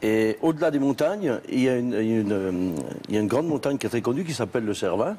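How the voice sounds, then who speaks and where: A man from Valais with a Swiss French accent, telling his story haltingly as a guest on a TV talk show. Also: mid-range voice, lively intonation, warm tone, slight background noise. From Switzerland, Valais. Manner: halting, restarts with many fillers. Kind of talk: studio TV talk show interview. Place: TV studio set.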